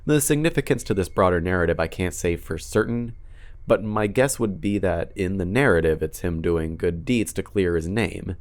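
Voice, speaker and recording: A man's voice: low voice, normal speed. A man narrating scripted commentary in a casual, dryly sarcastic way with some swearing. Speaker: video essay host.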